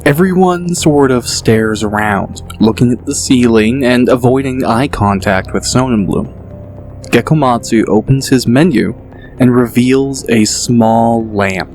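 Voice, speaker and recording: A man narrating a fantasy audio drama in a steady, descriptive storytelling voice. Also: medium pitch, a little slow, faint music behind.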